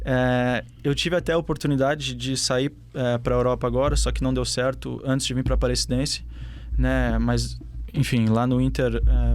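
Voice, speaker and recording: Young guy w/ a southern brazilian accent, mid pitch, keeps pausing and restarting, easygoing interview answers. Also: a little mellow. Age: young adult. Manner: hesitant, many fillers and restarts. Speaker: footballer guest.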